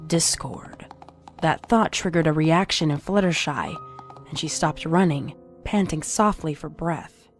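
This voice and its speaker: A woman reading a scripted story as narrator, in a measured, suspenseful, dramatic storytelling manner. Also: low voice, darkish tone. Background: music.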